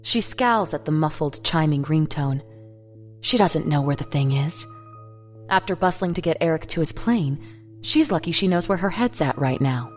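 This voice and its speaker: Low-voiced woman narrating a dark story. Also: animated, normal speed. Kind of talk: scripted dramatic narration of horror fiction. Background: music.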